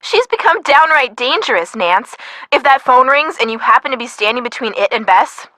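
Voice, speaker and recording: A woman's voice: high voice, very animated, moderate pace, pushed and bright, dry recording, full character voice. A woman playing a wry, sarcastic, sensible teenage girl sidekick in a video game, with a dry, teasing delivery.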